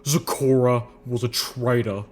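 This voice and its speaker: Man as a rumbling, stern ghoul soldier. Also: level tone, darkish tone, close to an everyday voice. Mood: dangerous, angry. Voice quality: low rumbling growl. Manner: formal, grave, deliberate. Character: stern armored ghoul soldier, male, old, rigidly dutiful.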